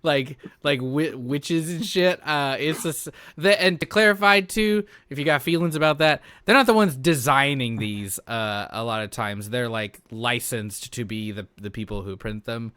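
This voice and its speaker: Bright, forceful man chatting casually. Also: medium pitch, moderate pace, hardly any pauses, smooth, no echo. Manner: sweary, slangy, enthusiastic. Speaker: podcast host and player. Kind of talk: casual chat.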